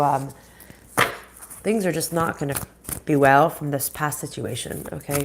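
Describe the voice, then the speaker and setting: A woman's voice: low-pitched, a little mellow, a little hiss or hum. Nasal, stuffy-voiced woman reading cards. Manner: chatty, stops and restarts, greets viewers by name. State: sick with a sinus infection. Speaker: spiritual reader and channel host. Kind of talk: live-streamed oracle card reading.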